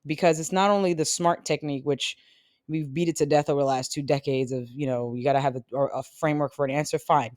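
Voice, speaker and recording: Sales coach around forty, conversational and encouraging, mid-pitched voice, dry close recording. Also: dry recording. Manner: encouraging and conversational, with quick laughs and self-corrections. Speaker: tech sales career coach. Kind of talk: casual instructional talk to viewers.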